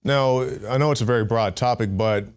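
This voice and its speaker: A man hosting a news segment, reading a measured intro and then interviewing a guest. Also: medium pitch, slight background noise. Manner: measured, reads scripted intro then asks questions.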